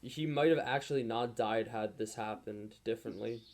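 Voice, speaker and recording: A man's voice: mid-range voice, moderate pace, a little mellow. A man hosting a reaction video with casual, rambling, emphatic commentary.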